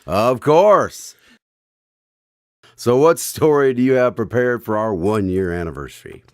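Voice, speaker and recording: A man's voice: mid-range voice, expressive, measured pace, clear, resonant tone, dry recording, clean studio sound, natural voice. A man playing a genial, curious radio announcer who hosts an old-time style drama in a courteous, theatrical way. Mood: friendly, curious. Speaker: announcer and host.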